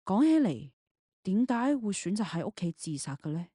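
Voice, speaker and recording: A woman's voice: medium pitch, very animated, moderate pace, warm tone, husky, dry recording, clean studio sound. A woman, narrating a scripted audio drama in the third person.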